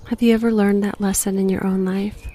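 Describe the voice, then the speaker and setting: A woman guiding a meditation in a gentle, unhurried, breathy voice that sometimes nears a whisper, giving slow reflective prompts. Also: mid-range voice, little pitch movement, background noise. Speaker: guided meditation host.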